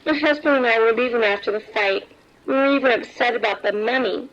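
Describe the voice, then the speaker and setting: An actress playing a traumatized, distraught wife in a horror audio drama. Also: female voice, on the high side, a little fast, fairly bright tone. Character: traumatized, hysterical wife recounting an attack. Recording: muffled, band-limited radio call.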